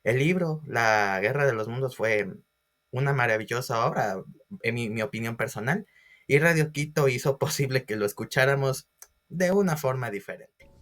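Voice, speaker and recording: A man's voice: mid-range voice, expressive, moderate pace, fairly bright tone. A man giving a history lecture as a storyteller, with theatrical emphasis and questions to his listeners. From Ecuador. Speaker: history teacher.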